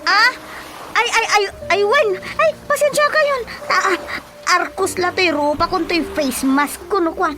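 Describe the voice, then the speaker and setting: A woman's voice: extremely high pitch, unhurried. An actress playing a fretful older village woman in a comedic radio drama, exclaiming and stammering in an Ilocano accent over music. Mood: flustered, worried.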